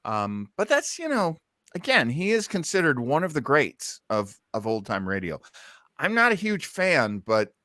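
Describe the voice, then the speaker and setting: Male show host, bright and keen, chatting casually and enthusiastically, recorded dry. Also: a little high, wildly swooping pitch, normal speed, dry recording. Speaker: host of an old-time radio listening show. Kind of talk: casual hosting and discussion.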